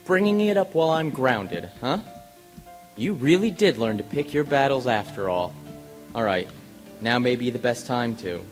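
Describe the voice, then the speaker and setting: Man acting a proud pegasus general, swooping pitch. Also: lively intonation, moderate pace, natural voice. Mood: wry, resigned. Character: proud, stubborn, wry male pegasus army general. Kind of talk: radio drama acting. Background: music bed.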